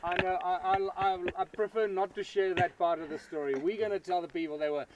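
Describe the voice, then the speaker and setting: A man speaking informally as he introduces a site to a group, with deliberate, emphatic phrasing. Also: high-pitched, steady tone, muffled recording, a little hiss or hum.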